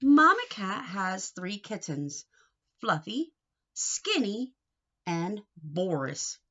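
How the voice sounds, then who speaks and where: A woman reading a picture book aloud to young children in an expressive, sing-song way, coaxing them with questions. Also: mid-range voice, drawn-out words, dull audio.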